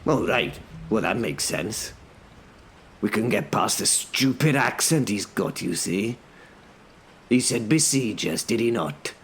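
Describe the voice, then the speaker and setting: A man voicing an unruffled knight who feigns confusion with dry, deliberate politeness in an audiobook. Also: on the low side, lively intonation, vocal fry, a little hiss or hum, pitched above the performer's own voice. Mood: feigned confusion.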